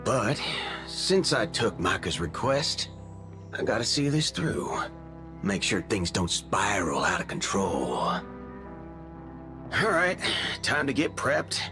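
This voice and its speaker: A man voicing a cocky, playful cowboy gunslinger in a video game, talking in folksy slang with softened swear words. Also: on the low side, big pitch swings, slow pace, pauses often, heavily acted. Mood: wary, resolute. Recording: game dialogue over music.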